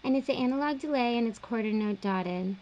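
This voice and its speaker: A young woman producer casually walking through her vocal processing in a home studio, relaxed and chatty with plenty of fillers. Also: fairly high pitch, a little fast, dull audio. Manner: relaxed, explanatory, uses fillers like um and like. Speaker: music producer. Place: small home studio indoors.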